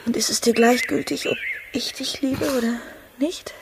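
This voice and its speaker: A woman's voice: on the high side, moderate pace. An actress in a German radio drama playing a married lady and secret lover, hesitant, intimate and full of longing. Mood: tender. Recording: clearly noisy sound with clicks.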